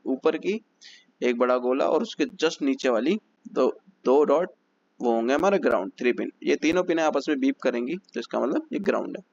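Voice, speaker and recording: A man's voice: medium pitch, vocal fry, lo-fi sound, slight background noise. A man teaching phone repair step by step, methodical and repetitive as he explains each check. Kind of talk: step-by-step technical lesson.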